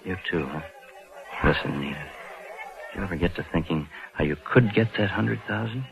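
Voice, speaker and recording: An American actor playing a scheming, slangy bank clerk in a hard-boiled 1940s radio crime drama, both speaking and narrating. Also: male voice, deep voice, normal speed, creaky. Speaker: lead actor and first-person narrator. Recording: dull vintage 1940s radio recording with music.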